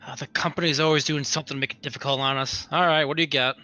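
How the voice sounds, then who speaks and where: Bright-voiced man playing a tabletop game. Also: on the high side, fairly even intonation, rapid-fire. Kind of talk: casual in-game chat.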